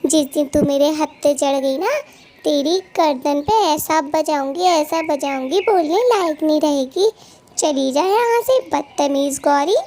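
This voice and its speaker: A woman's voice: high-pitched, unhurried, dark tone. A woman voicing a quarrelsome, hot-tempered female cartoon bird with an emphatic, taunting, scolding way of talking. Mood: furious, threatening. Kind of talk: animated cartoon dialogue.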